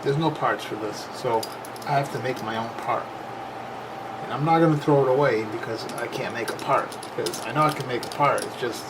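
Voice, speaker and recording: A man's voice: medium pitch, fairly quick. A man giving a casual, unscripted hands-on repair demonstration, plain-spoken and thinking aloud as he works. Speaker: repair video host. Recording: noisy camera recording with loud background noise.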